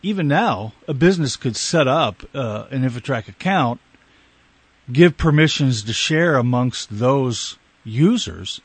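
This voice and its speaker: A man's voice: mid-range voice, lively intonation, a little slow, breathy edge, no room sound. Male radio host, dry close studio sound. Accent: slight Canadian. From Canada. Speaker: radio talk show host. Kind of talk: conversational radio interviewing.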